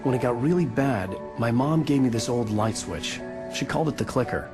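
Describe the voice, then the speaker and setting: A man's voice: medium pitch, lively intonation, normal speed, lightly acted. A man playing a troubled, weary writer in a game, speaking in a terse, understated way. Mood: gentle, reminiscing. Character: troubled male writer, brooding and weary, narrating his nightmare. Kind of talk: dramatic game acting with first-person scripted narration. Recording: music behind the voice.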